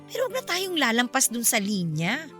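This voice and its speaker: A woman's voice: on the high side, huge pitch swings, a little fast, bright, forceful tone, faint music behind, full character voice. A woman playing a frank, feisty middle-aged Filipino mother and forgiving best friend in a radio drama. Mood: frank, advising.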